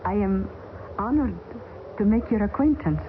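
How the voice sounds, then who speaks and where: An actress playing an earnest young woman stranded in the Arctic, speaking in formal, halting phrases in a 1970s radio drama. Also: medium pitch, expressive, natural voice. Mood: wistful. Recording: hissy old radio broadcast, tinny.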